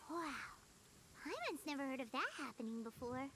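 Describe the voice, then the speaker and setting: A woman voicing a tiny, excitable, childlike floating fairy companion in a video game, chirpy and squeaky. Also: moderate pace, slight background noise, exaggerated voice. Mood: polite, surprised. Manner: refers to self in third person, chirpy. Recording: game music behind the voice.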